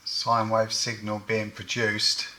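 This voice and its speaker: A man's voice: lowish voice, completely flat delivery, takes it slowly, heavy background noise. A man giving hands-on repair commentary as host, thinking aloud in short, matter-of-fact phrases.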